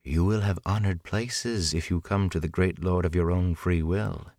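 A man voicing a cold, menacing young woman who serves a dark lord, unhurried and condescending, in an audiobook. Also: low voice, steady tone, dry recording, clean studio sound. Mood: condescending menace. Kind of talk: audiobook character acting.

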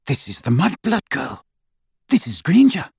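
A shrill, cruel witch with a haughty sneer, put on by a man reading a storybook. Her voice flies up high and swings wildly. The tone is slightly husky, close and dry. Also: huge pitch swings, a little slow, a little mellow, no room sound, heavily acted. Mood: cold. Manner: sneering, shrieking.

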